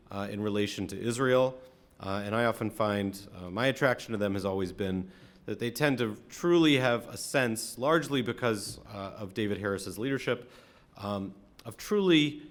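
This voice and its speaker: An American man from Atlanta speaking as a rabbi, giving a conversational, reflective sermon with frequent halting pauses. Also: fairly low pitch. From Atlanta, American South. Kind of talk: sermon, conversational talk to congregation.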